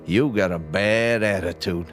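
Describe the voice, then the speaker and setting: Low-voiced, shifty gangster boss. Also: male voice, measured pace, faint music behind. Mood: guarded, threatening. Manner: slangy mobster talk. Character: shifty, self-assured male gangster boss. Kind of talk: hard-boiled crime radio drama acting.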